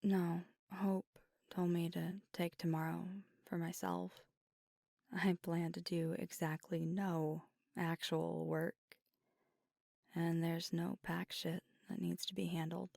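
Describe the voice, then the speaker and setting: A woman acts out a young werewolf girlfriend in an ASMR audio roleplay, fiery at heart but weary and guarded. Her voice is low-leaning, husky and crackling with fry, right up against the listener in a dry space. She confides in a tender, halting hush. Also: level tone, dry recording, full character voice. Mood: weary, confiding. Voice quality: breathy, husky. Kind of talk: ASMR roleplay, intimate scripted monologue.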